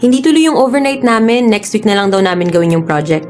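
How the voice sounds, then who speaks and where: A young woman's mid-pitched voice from an actress as a vulnerable little sister and student. It is radio drama, with music under the words. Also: animated, quick pace, a little mellow, natural voice. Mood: playful, pleading. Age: young adult.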